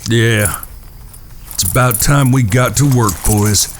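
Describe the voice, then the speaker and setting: An actor playing a terse, stoic gunslinger in a western audio drama, with a laconic delivery. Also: male voice, mid-range voice, background noise, close to an everyday voice. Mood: grim, resolute. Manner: laconic, slow. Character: terse, stoic Old West gunslinger out for revenge.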